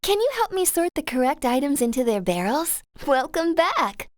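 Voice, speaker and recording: A woman's voice: sits high, big pitch swings, normal speed, no room sound, studio quality. A woman playing a friendly small-town shopkeeper in a children's video game, cheerful and welcoming. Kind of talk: video game character acting.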